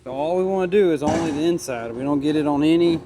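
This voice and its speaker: A man's voice: fairly high pitch, fast talker. A man chatting casually as a mechanic, thinking aloud and asking questions. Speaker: mechanic, co-host. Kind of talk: casual shop chat. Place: workshop. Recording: noisy workshop with clicks.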